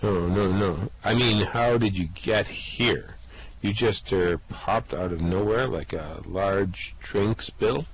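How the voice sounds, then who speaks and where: A man's voice: low-pitched, slow, slightly rough, no room sound, heavily acted, low-quality recording. A man voicing a laid-back, slightly dim male space adventurer who drawls in a slack, easygoing way, in an amateur radio play reading.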